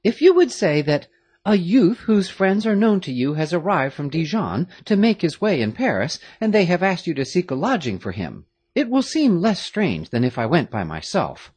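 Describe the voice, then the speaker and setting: A narrator voicing a sensible, polite young English gentleman who lays out a plan in a measured, practical way. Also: mid-range voice, very animated, husky, dry recording, voice pushed up. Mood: earnest.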